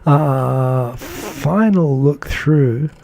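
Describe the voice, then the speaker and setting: Male live-stream host, dark gravelly tone, chatty and enthusiastic, loose with fillers. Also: medium pitch, very slow, a little hiss or hum. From English-speaking country. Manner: rambling and enthusiastic, lots of fillers; reads out and answers viewer comments. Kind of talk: casual live-stream chat.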